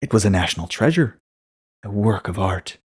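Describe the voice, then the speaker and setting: A man's voice: fairly low pitch, expressive, normal speed, breathy edge. A man narrating a short story as a scripted dramatic reading.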